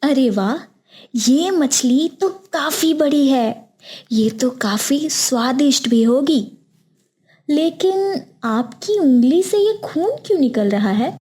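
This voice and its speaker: A woman's voice: high-pitched, expressive, slow pace, mellow. A woman voicing a wrestler's caring, anxious wife, exclaiming with concern in a cartoon moral story. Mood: impressed.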